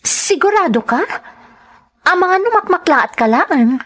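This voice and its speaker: A woman playing a gentle, dutiful young woman in love in a radio drama, with an Ilocano accent. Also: very high voice, sing-song, a little slow, exaggerated voice. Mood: concerned, surprised. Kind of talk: radio drama acting and first-person narration.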